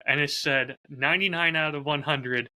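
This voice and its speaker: Bright, forceful man chatting on a podcast. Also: on the high side, fairly even intonation, normal speed. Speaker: podcast host and audio drama creator. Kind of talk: casual podcast chat.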